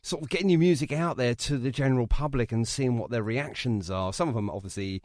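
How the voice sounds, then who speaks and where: A man's voice: mid-range voice, fast, a little mellow, no echo, studio quality. A chatty, matey English man hosting a casual radio interview, speaking with some emphasis.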